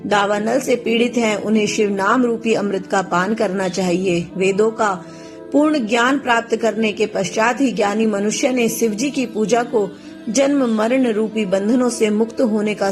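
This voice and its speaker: Woman plays kindly serene deity, gentle formal teaching, medium pitch, faint music underneath. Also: lightly acted. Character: serene male god, kindly teacher to devotee.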